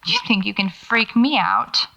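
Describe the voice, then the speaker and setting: A woman's voice: mid-range voice, lively intonation, measured pace, vocal fry, sharp tone, full character voice, low-quality recording. A woman acting as a wry, stubborn paranormal investigator in an audio drama, talking to herself as she records field notes. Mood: defiant. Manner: muttering to herself, sardonic, self-coaching.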